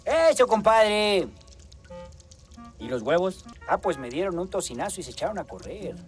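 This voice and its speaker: Weary, naive cartoon animal sidekick, high lively voice, plaintive delivery. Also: male voice, normal speed, faint music behind.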